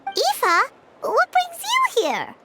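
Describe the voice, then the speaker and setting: A woman voicing a tiny, excitable, girlish floating companion in a video game, with squeaky, drawn-out exclamations. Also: heavily acted. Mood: chirpy. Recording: loud game background noise.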